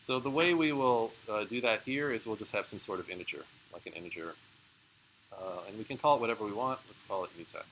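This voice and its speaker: Male professor, lowish voice, crisp bright tone, relaxed explanatory classroom talk, faint background noise. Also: quick pace, poor audio. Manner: casual, explanatory, answers student questions with many fillers. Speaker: university lecturer. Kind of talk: live classroom lecture. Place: lecture room.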